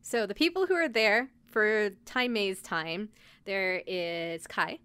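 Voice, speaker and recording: Woman podcaster recapping a show casually, smooth clear voice, says like a lot. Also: mid-range voice, takes it slowly, fairly bright tone. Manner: conversational, peppered with "like". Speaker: podcast host recapping anime episodes.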